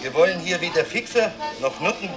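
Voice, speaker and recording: A man's voice: sits high, animated, a little fast, over music. An actor playing a condescending, menacing pub man who turns guests away, in a radio play. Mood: hostile, curt. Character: hostile pub man throwing out young misfits. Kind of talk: radio drama.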